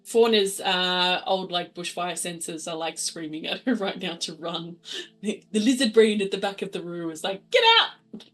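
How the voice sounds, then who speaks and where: A woman's voice: fairly low pitch, moderate pace, fairly bright tone. A woman chatting casually as a tabletop game player, describing her character's actions informally.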